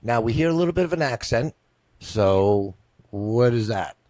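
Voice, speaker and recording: A man's voice: mid-range voice, expressive, normal speed, mellow, no room sound. A man hosting an interview on a video call, jokey and rambling, often repeating himself. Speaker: interview host. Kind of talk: casual interview.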